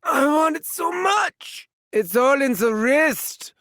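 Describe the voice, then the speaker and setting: An actor voicing an arrogant, unhinged German mad scientist in a video game, pompous, theatrical and gleefully sinister, with a German accent. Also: male voice, very high voice, expressive, slow, bright, forceful tone, no echo, studio quality, exaggerated voice. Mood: frenzied. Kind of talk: video game character voice lines.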